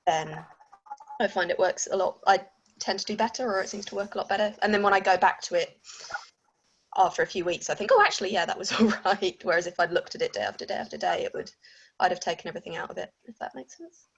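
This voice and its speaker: A woman's voice: on the low side, fast, gravelly, muffled recording, a little hiss or hum. A woman writer chatting casually and spontaneously on an online call.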